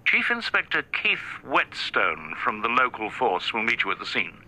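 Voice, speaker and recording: An actor playing a brisk, authoritative senior male police chief over a telephone in a radio drama. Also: mid-range voice, wildly swooping pitch, bright, forceful tone, exaggerated voice, low-quality recording. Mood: brisk, official. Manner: brisk, official. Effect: telephone filter. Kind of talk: radio drama phone dialogue.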